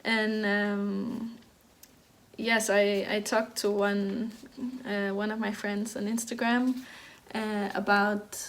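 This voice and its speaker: A woman's voice: mid-range voice, slow pace. A woman in her thirties from Denmark, hosting a knitting podcast in a casual, hesitant way, with many fillers and restarts. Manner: even, flat delivery. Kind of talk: casual chat.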